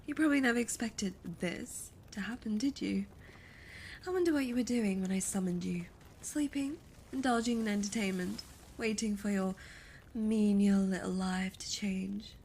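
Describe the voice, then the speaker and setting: A woman voicing a seductive, imperious and gloating succubus demon in an ASMR audio roleplay, breathy and often lapsing into whispers, with a theatrical, condescending delivery. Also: medium pitch, moderate pace, darkish tone, a little hiss or hum, lightly acted. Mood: mocking, teasing.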